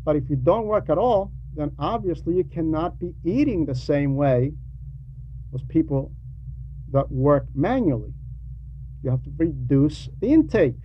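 A man giving a sermon in a halting, explanatory and admonishing way, with conversational asides. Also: fairly high pitch, lively intonation. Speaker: preacher reading a translated homily. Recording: dull lo-fi recording with faint noise.

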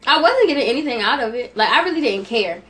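Woman in her twenties, casual podcast chat, candid and laughing, slightly high voice. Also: expressive, quick pace, fairly bright tone. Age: 20s. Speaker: podcast co-host. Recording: noisy home recording.

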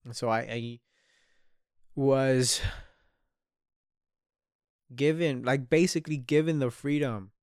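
A man in his early twenties talks through his thoughts on a solo podcast, candid and sweary, often trailing off and starting over. His voice is smooth and clear, recorded dry and close. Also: mid-range voice, takes it slowly, pauses often, darkish tone, no room sound. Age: 20s. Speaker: podcast host. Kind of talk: solo reflective podcast monologue.

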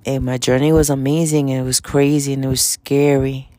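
A woman's voice: rumbling low, level tone, a little fast, warm tone, no echo. A woman in her late fifties with a New York accent, giving an informal motivational talk in emphatic, repeated short phrases. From Dominican Republic, raised in Washington Heights, New York City. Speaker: spiritual self-help vlogger.

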